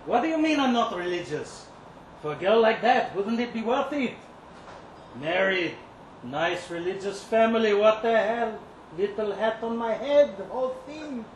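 Man acting a high-pitched, lovesick kitchen worker. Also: lively intonation, moderate pace. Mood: smitten. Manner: colloquial, streetwise, confiding, rambling. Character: cocky, lovesick, resentful male kibbutz kitchen worker. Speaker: story reader. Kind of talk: dramatic monologue read live. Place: indoor live reading event. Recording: roomy, distant live-event recording, faint noise.

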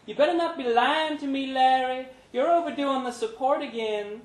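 A man voicing a sharp, scolding woman who runs a bar, in a live story reading. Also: very high-pitched, moderate pace, voice pushed up. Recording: echoey pub room, background noise.

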